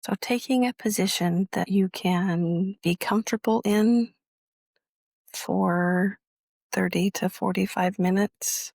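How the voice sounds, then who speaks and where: A woman teaching guided meditation in a measured, instructional way, leaving long pauses between phrases. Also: mid-range voice, creaky, darkish tone, no room sound.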